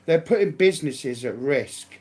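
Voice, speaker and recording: A man with a fairly high-sitting voice for a male speaker argues in an informal, unscripted way. He is blunt and indignant, driving each point home with emphasis. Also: lively intonation, moderate pace, a little mellow.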